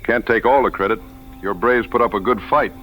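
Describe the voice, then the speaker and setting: Male actor as rugged frontier hunter, lowish forceful voice, folksy and plainspoken, audio adventure drama, music underneath. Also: a little fast, slight background noise, rough recording. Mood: humble, solemn.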